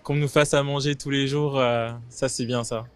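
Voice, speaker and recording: A man stopped on the street answers in a relaxed, thoughtful way. His voice is smooth and clear, at a middle pitch. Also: normal speed. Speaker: passer-by.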